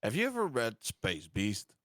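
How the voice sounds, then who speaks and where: A man playing a tabletop game, speaking in a slow, wry way. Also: mid-range voice, wildly swooping pitch, fairly bright tone, no echo, clean studio sound. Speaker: player.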